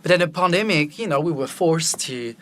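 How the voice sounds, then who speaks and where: A man's voice: a little high, lively intonation, brisk, a bit bright, slight background noise. A man from Belgium whose first language is French, a film director guest talking candidly and with animation about his life, laughing easily. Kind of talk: candid personal conversation.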